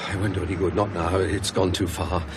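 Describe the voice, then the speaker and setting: A man's voice: deep voice, flat delivery, a little fast, noisy background, lightly acted. An actor playing a weary, haunted man resigned to his doom, fretful and pessimistic, in a radio drama. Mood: resigned, firm.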